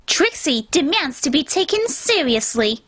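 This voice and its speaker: A woman's voice: high-pitched, big pitch swings, a little slow, fairly bright tone, full character voice. A woman voicing a boastful, demanding young female pony magician with a haughty, theatrical manner in a cartoon fan fiction reading. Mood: defiant, haughty.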